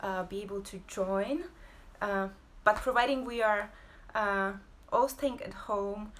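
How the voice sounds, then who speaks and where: A woman, hosting a casual live-streamed talk from home, pausing often with fillers. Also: mid-range voice, fairly bright tone. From Poland. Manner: hesitant, many fillers and pauses. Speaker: host and abstract painter.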